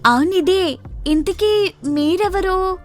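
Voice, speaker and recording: A woman's voice: very high voice, slow pace, soft background music, voice pushed up. A woman voicing a bold, childish, simple-minded young village woman in a story, outspoken and sing-song. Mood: childlike.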